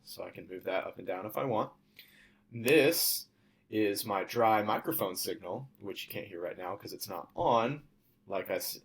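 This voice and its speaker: A young adult man presenting as a student, walking through a software demo in an informal, explanatory way. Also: lowish voice. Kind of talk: casual software demo walkthrough.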